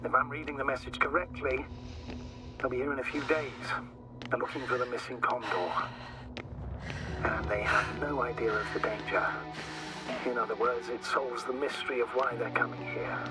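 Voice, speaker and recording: A concerned male astrogator in a sci-fi game. He explains things in a measured way over a radio link, sounding distant from the mic, with music clearly behind him. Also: mid-range voice, fairly even intonation. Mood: serious, urgent. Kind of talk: video game voice acting.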